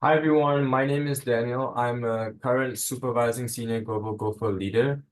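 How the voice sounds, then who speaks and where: A man's voice: medium pitch, fairly even intonation, normal speed. A young man from Singapore, a student leader giving an upbeat, encouraging presentation from notes. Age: young adult. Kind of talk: scripted presentation on a video call.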